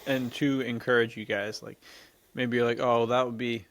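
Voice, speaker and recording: A man's voice: medium pitch, flat delivery, moderate pace, fairly bright tone. A young man co-hosting a podcast, telling stories casually with slangy, enthusiastic talk full of "dude". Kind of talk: casual chat, storytelling.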